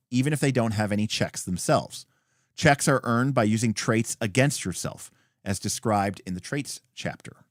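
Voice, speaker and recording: A man hosting a read-along, reading a game rulebook aloud in an emphatic, deliberate way with brief asides. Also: medium pitch, no room sound, clean studio sound. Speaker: host.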